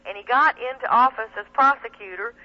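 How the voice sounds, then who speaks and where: A woman's voice: high voice, brisk. Indignant woman on a lo-fi radio call. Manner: emphatic, indignant. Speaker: guest, attorney and activist. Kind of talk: impassioned talk radio interview. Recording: band-limited 1990s shortwave radio, telephone sound.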